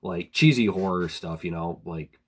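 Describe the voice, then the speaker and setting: A man with a slightly hoarse voice from a cold, chatting casually in a book review vlog, rambling with many fillers and clearing his throat now and then. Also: low-pitched, moderate pace. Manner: relaxed, rambling, frequent "like" and "um", clears throat often.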